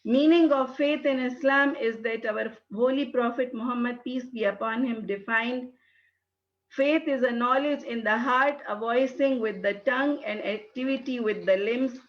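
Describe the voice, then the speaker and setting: Woman from Pakistan, non-native English, halting with fillers, somewhat high voice, muffled audio. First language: not English. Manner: hesitant, many fillers. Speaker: guest speaker. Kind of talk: webinar talk. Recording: dull lo-fi webinar audio.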